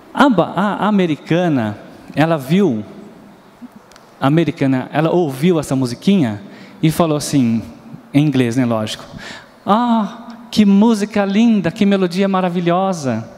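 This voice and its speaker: Brazilian male preacher, mellow tone, storytelling sermon, reverberant church hall. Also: fairly high pitch. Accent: Brazilian Portuguese. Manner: storytelling, asks the congregation questions, sings bits of nursery rhymes.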